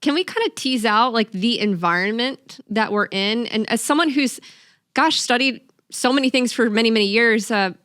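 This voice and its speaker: A woman's voice: fairly high pitch, normal speed, fairly bright tone, dry recording, studio quality. A woman hosting an interview, chatting casually with informal, tentative phrasing and plenty of "like". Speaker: interview show host.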